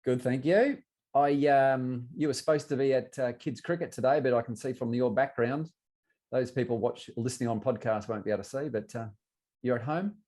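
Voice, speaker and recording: A man's voice: medium pitch, moderate pace, a little mellow. An Australian man hosting a podcast, chatting casually with a guest in an informal, matey, encouraging way. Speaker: podcast host interviewing a guest. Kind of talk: casual interview chat.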